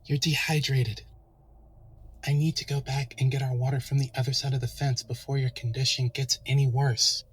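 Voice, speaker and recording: A husky-voiced man acting as a young pony husband in a dramatic fan story. He is protective and determined, speaking with earnest, insistent urgency at a middling pitch. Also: little pitch movement, moderate pace, close to an everyday voice. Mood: concerned, urgent.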